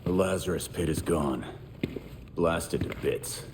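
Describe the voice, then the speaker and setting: An extremely low, brooding male vigilante gives terse, grim game narration. He sounds wry and determined. The sound echoes as in a hall, over faint noise. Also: measured pace. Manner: terse, clipped phrases, grim. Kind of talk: dramatic scripted game narration.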